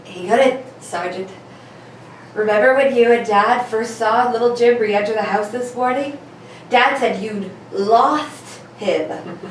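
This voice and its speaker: A woman's voice: on the high side, natural voice. A woman playing a clever, self-assured male detective in an amateur reading of an old radio mystery. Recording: very echoey room, distant mic, faint noise.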